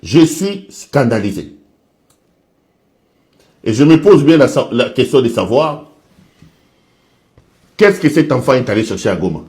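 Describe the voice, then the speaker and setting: A man speaks slowly and theatrically, repeating his outrage for effect. His pitch swings widely, rising and falling across each line. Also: very animated, darkish tone. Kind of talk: dramatic online commentary.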